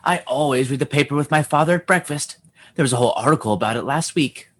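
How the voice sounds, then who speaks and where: A woman's voice: rumbling low, fast talker. A woman playing a cocky, mischievous know-it-all child in comedy radio theatre, insistent and blustering. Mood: matter-of-fact.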